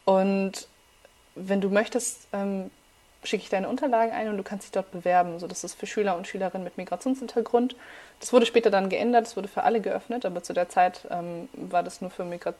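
Animated young woman telling stories. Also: medium pitch, a little fast. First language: Farsi. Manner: animated, laughs while telling stories, many fillers. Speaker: podcast guest. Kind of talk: casual storytelling.

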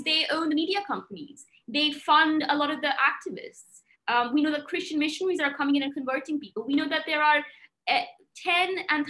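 A woman's voice: high-pitched, sharp tone. A young woman who grew up in England, speaking as a podcast guest in an earnest, emphatic way with many fillers.

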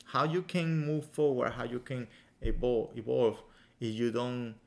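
A man's voice: mid-range voice, fairly even intonation, gravelly. Earnest man with a Venezuelan accent. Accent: Venezuelan Spanish. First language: Spanish. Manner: earnest, explaining in halting English with many restarts. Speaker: yoga teacher, podcast guest. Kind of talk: casual podcast chat.

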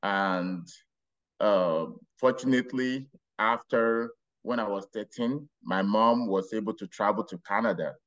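Brief: middle-aged man, Nigerian accent, lowish voice, muffled lo-fi audio, storytelling podcast guest. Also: fairly bright tone. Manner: unhurried, rambling with restarts and laughs. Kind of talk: casual interview storytelling.

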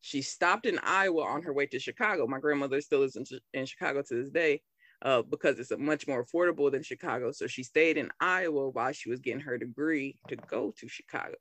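A woman's voice: rumbling low, fairly quick, a bit bright. A woman co-hosting a podcast, telling stories in an easygoing way and laughing often. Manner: laughs easily, easygoing storytelling. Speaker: podcast co-host. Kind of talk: casual podcast chat.

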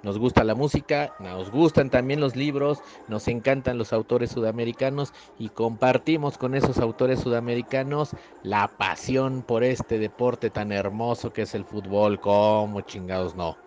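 A man's voice: medium pitch, steady tone, normal speed, hardly any pauses, a little mellow, dull audio, slight background noise. A male podcast host with a Mexican accent, giving a casual, chatty introduction with slangy asides.

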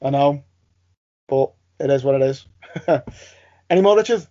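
A man's voice: mid-range voice, lively intonation, normal speed, dark tone. A man hosting a casual podcast chat. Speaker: podcast host. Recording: slightly dull, lo-fi audio.

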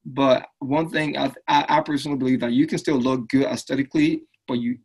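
A man's voice: medium pitch, fairly even intonation, a little fast, a little mellow, slightly rough. A man from Liberia, speaking earnestly and upbeat as an interview guest, often tagging lines with "right?". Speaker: fitness business owner, guest. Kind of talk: casual interview conversation.

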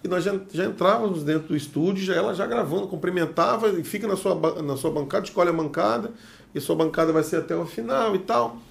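Very gravelly man from Espírito Santo. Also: high-pitched, quick pace. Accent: Espírito Santo Brazilian Portuguese. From Brazil, Espírito Santo. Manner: lively storyteller who acts out the dialogue. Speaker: chef, podcast guest. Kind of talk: casual anecdote telling.

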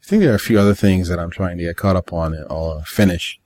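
Low-voiced man chatting offhandedly. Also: fast talker, darkish tone. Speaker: podcast co-host. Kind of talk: casual chat about games and manga.

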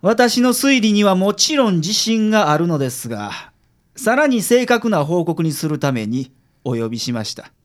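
Man playing a confident Kansai detective, higher-pitched voice. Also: very animated, moderate pace. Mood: calm, formal. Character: confident, frank male private detective reporting calmly to a client. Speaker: amateur radio drama actor. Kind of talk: radio drama acting.

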